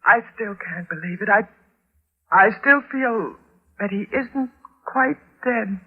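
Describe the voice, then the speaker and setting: An actress playing a frightened wife in a 1950s radio horror drama, dramatic, breathless and halting. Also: female voice, mid-range voice, animated, slightly rough, exaggerated voice. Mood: shaken, uneasy. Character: terrified wife who fears her husband is a vampire. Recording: muffled old radio recording.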